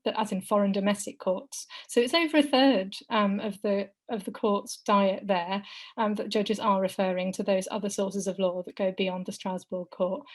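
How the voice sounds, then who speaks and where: A woman in her thirties with a hoarse, croaky voice, presenting as a law lecturer on a webinar call, brisk and wry with many fillers. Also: medium pitch. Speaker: law lecturer, panel speaker. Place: home room. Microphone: laptop microphone on video call.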